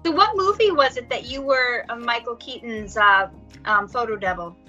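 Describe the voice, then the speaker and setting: A woman's voice: a little high, normal speed, bright, forceful tone. A woman hosting a casual interview, asking chatty, friendly questions. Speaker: host interviewer. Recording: dull lo-fi sound with faint music.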